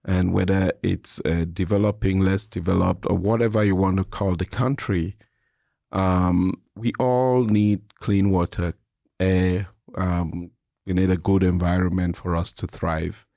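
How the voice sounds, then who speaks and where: Middle aged cameroonian guy being interviewed, low gravelly voice, explains things carefully. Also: fairly even intonation, a little slow, warm tone, no room sound, studio quality. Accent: Cameroonian English. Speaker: interview guest, nonprofit president and CEO. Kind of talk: reflective conversational interview answers.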